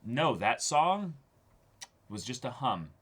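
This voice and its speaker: A man's voice: medium pitch, sing-song, slow pace, a bit bright, slightly rough. A man co-hosting a reaction show, talking earnestly and effusively in casual discussion, often repeating words as he searches for the next one.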